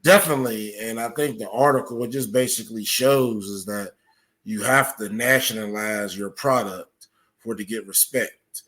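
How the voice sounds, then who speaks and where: Low-voiced man explaining things conversationally. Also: moderate pace, fairly bright tone. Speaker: podcast guest.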